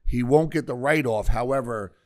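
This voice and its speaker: Male host, mid-pitched, quizzes the guest and restates points for the audience. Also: normal speed. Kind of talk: casual chat, asking questions.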